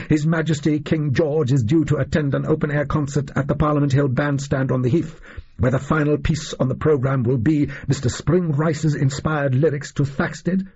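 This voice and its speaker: A man voicing a self-assured, shrewd gentleman detective in an audiobook story, precise, theatrical and condescending. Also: a little high, breathy edge, highs cut off, exaggerated voice.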